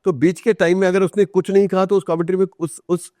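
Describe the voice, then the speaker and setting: An Indian-accented man speaks as a guest in conversation, his voice a little high and recorded very dry. He explains through anecdotes, stressing points by repeating them and posing rhetorical questions, and mixes in English phrases. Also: little pitch movement, fast talker, mellow, no room sound. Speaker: interview guest, author.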